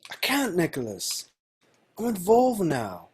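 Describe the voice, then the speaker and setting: A man reads his lines in an at-home table read, his pitch swinging widely as he pleads. A faint hiss of background noise sits under the video call audio. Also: huge pitch swings, slow pace, a little mellow.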